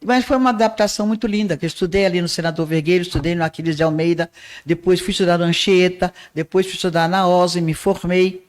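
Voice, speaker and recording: An older woman from Northeast Brazil with a softened Northeastern accent, telling her life story as an interview guest in an unhurried way. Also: lowish voice, fairly even intonation. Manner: unhurried storyteller with small restarts. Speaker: guest, art teacher and radio presenter.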